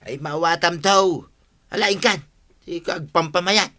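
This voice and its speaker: A man's voice: high voice, measured pace, bright, forceful tone. A man with an Ilocano accent playing a sly, bossy village treasure hunter in a comedy drama, scheming and eager. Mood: sly, coaxing. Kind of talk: Ilocano comedy radio-style drama acting.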